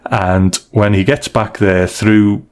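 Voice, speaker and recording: A man's voice: fairly low pitch, steady tone, slow pace, darkish tone, smooth. A man hosting a podcast, talking casually and recapping stories with tag questions. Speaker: podcast host.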